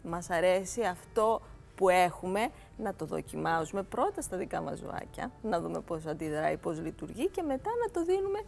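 A woman's voice: on the low side, sing-song, creaky, darkish tone, soft background music. A woman in her forties, a grooming salon owner, talking eagerly in a TV studio interview on a lapel mic.

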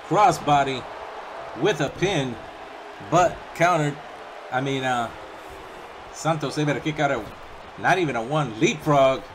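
A young man doing play-by-play commentary over a wrestling video game, chatty and casual between calls and getting excited on the big moves. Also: a little high, background noise. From Puerto Rican background. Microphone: home microphone, voice-over on game footage.

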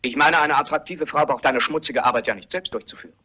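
A sardonic man who needles his relatives in a radio mystery. His voice is fairly high, sharp and rough, with mocking insinuation. The sound is a little dull. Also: quick pace. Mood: smug, needling. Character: sardonic, provoking male member of a wealthy family. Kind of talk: German radio mystery play acting. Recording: muffled radio play recording.

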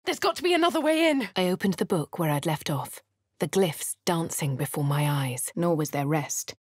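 An actress with a posh English accent voicing a composed female adventurer, reading game narration and voice lines in a crisp, dry manner. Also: on the low side, lively intonation, moderate pace, no echo. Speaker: voice of the player character.

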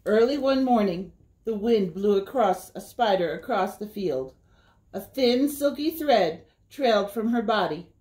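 A middle-aged woman hosting a children's story time, reading aloud in a deliberate, sing-song way. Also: medium pitch, animated, a little mellow, low-quality recording. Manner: slow, deliberate, sing-song repetition for young listeners. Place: small indoor room by a window.

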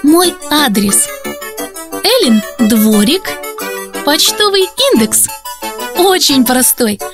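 A woman voicing a gentle little girl puppet who hosts and tells Bible stories to children in a kindly, expressive way. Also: sits high, takes it slowly, over music, heavily acted. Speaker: host and storyteller.

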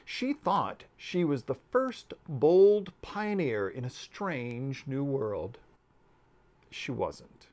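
A man in his fifties reads a story aloud with drama. His delivery is slow and expressive, with deliberate pauses and a pitch that rises and falls widely. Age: 50s. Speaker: author reading aloud. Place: book-lined room at home.

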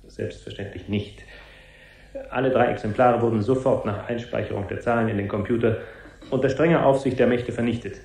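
A man's voice: medium pitch, fairly even intonation, fairly quick, creaky, darkish tone, close to an everyday voice. Man acting a pompous press officer, echoey hall. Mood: formal, official. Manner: formal, bureaucratic, long-winded. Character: formal, pompous male head of public relations. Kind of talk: press conference in 1970s radio drama.

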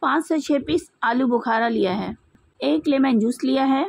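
High-pitched Indian woman giving cooking instructions. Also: moderate pace, vocal fry, a little mellow, slightly rough, no echo. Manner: instructional, methodical. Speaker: home cook presenting a recipe. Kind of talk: step-by-step cooking tutorial narration.

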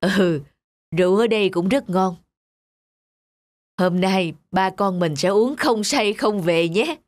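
A woman voicing a middle-aged father in an animated moral tale, patient, protective and earnest. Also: medium pitch, slow, natural voice. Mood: conciliatory.

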